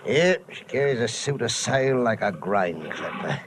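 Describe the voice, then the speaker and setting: Man acting a salty old boatman, swooping pitch. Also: lively intonation, lightly acted. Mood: wry, salty. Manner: folksy nautical slang, drawn-out exclamations. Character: salty old male harbor boatman, wry and talkative. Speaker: water taxi boatman. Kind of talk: old-time radio drama acting. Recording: noisy vintage radio drama recording.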